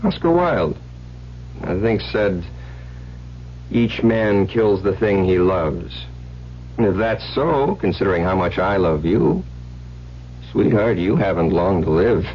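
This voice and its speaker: An actor with an American accent playing a controlling new husband with veiled menace, insistent and coaxing, in a radio drama. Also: male voice, sits low, expressive, slow pace, pauses often. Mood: softly menacing. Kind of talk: old-time radio drama acting. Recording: muffled, noisy vintage radio broadcast.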